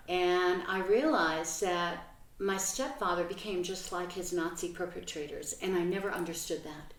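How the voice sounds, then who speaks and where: Reflective woman from Belgium, roomy and distant. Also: mid-range voice. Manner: reflective, earnest, with pauses and fillers. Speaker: interview guest. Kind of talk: personal life story in an interview. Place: home. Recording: roomy sound from a distant mic.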